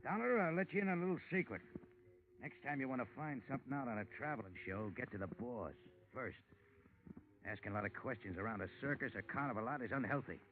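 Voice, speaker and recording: An actor playing a gruff, blunt carnival manager and strong-arm man in a slangy 1940s radio drama style. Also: medium pitch, expressive, fast, close to an everyday voice. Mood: menacing. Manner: blunt, slangy tough-guy talk. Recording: tinny, band-limited 1940s radio recording.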